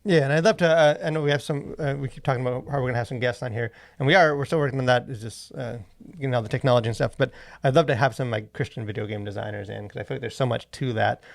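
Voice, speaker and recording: A male podcast host in casual conversation, talking quickly in a mid-pitched voice with some vocal fry. His delivery is informal and chatty, rambling along with plenty of fillers. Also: no echo. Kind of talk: casual pop-culture podcast chat.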